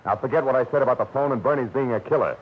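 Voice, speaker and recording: A man's voice: mid-range voice, rapid-fire, lightly acted. An actor playing a hard-boiled private detective with wry, clipped tough-guy narration in a 1940s radio drama. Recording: noisy, dull old radio recording.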